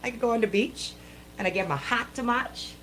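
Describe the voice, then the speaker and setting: A woman with a Bahamian accent hosting a live radio show with casual banter, talking in a playful, animated, colloquial way. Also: fairly high pitch, brisk, slight background noise, poor audio.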